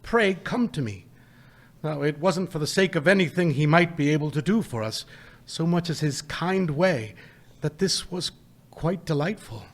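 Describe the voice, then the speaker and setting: An actor playing a gentle, poor father who speaks tenderly to his family about his lost little son in a live radio play. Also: on the high side, animated, moderate pace. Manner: tender, soft, choked-up.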